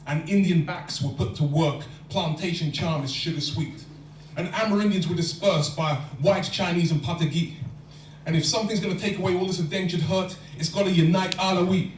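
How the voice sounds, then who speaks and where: A man recites spoken word poetry in a steady, rhyming rhythm, his voice sitting a little high. He is recorded from far back in an echoey lecture hall. A faint hum runs underneath. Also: brisk. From London.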